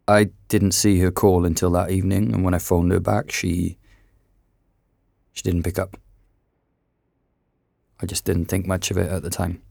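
A man playing a somber narrator who recounts a friend's tragedy in a grave, hesitant, measured way for an audio drama. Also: low voice, gravelly, a little mellow, no echo, clean studio sound, heavily acted.

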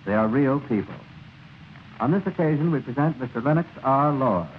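A man's voice: mid-range voice, expressive, moderate pace, slight background noise. A man making a formal scripted announcement as a 1930s radio announcer. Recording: narrow-band 1930s radio broadcast. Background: music.

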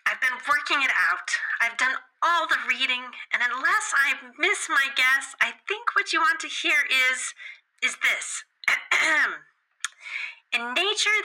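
An actress playing an eager, earnest caller to a game show in an audio drama, deliberate and excitable. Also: female voice, sits high, heavily acted, poor audio. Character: eager female game-show contestant calling in, sweetly earnest. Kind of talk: radio drama acting.

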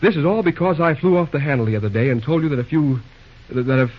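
An actor playing a brash, plain-spoken young railroad superintendent in an old-time radio drama, blunt, slangy and prone to stammer. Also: male voice, fairly high pitch, fast talker, muffled recording, lightly acted. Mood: flustered.

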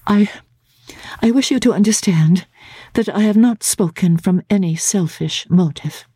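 A woman voicing an anxious, earnest young gentlewoman in a classic-novel audiobook, speaking haltingly and repeating her words. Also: mid-range voice, breathy edge, no room sound, pitched above the performer's own voice. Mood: pleading, earnest.

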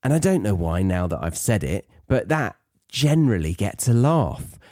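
Deep, mellow British man, podcast host. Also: sing-song, normal speed, dry recording, studio quality. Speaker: podcast host and English teacher. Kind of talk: conversational educational podcast talk.

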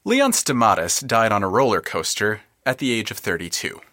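A man narrating literary audio fiction in a wry, precise, deliberate manner. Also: lowish voice, huge pitch swings, bright, forceful tone, no room sound, studio quality.

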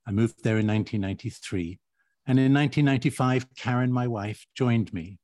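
A man's voice: mid-range voice, a little mellow, no room sound. Reflective middle-aged male guest. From grew up in Uganda and Kenya. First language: Swahili. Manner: reflective, measured, with hedges. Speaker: guest architect. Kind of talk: podcast interview.

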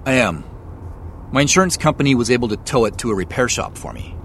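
A man's voice: mid-range voice, fast talker. A man playing a polite, guarded driver on a phone call, giving hesitant answers in naturalistic audio drama dialogue. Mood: polite, uneasy. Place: inside a car. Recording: steady car cabin noise.

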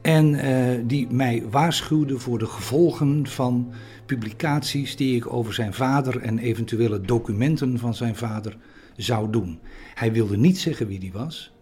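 A man with a dark, husky voice speaks haltingly, as if in an interview. He chooses words with care and repeats them, with faint music underneath. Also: medium pitch. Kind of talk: hesitant recollection in an interview.

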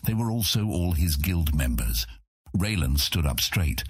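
A man's voice: low voice, animated, a little slow, slightly rough, no echo, studio quality. A man narrating a scripted fantasy audiobook in a dramatic storytelling style.

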